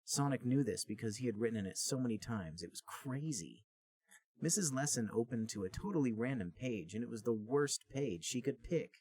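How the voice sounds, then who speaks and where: A man reads a comic story aloud as a podcast host. His voice is husky and medium in pitch, with an animated rise and fall and a simple storybook delivery. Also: a little fast, no room sound. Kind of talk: comic read-aloud narration.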